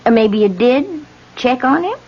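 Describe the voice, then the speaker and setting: An actress playing a nosy, good-natured lodger in old-time radio drama, prodding and matter-of-fact, on a vintage recording. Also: female voice, sits high, sing-song, a little slow, darkish tone, breathy edge, lo-fi sound, full character voice. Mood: prodding, curious.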